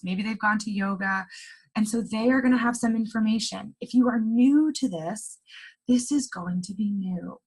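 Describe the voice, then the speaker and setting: Husky woman coaching a workshop group. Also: mid-range voice, low-quality recording.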